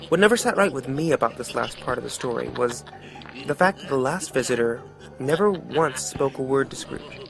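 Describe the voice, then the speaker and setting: A man's voice: mid-range voice, lively intonation, moderate pace, gravelly. Man acting a wry, streetwise storyteller over music. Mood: wry, musing. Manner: wry, folksy, reflective. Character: wry, streetwise male storyteller musing on death. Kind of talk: colloquial scripted narration of motion comic.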